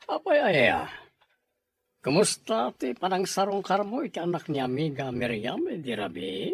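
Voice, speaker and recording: An actor plays a bossy middle-aged father in an audio drama. The voice is husky and swings around in lively fashion. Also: high-pitched, normal speed, full character voice. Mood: casual, prodding. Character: bossy middle-aged parent arranging a child's marriage. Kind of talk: Ilocano audio drama acting.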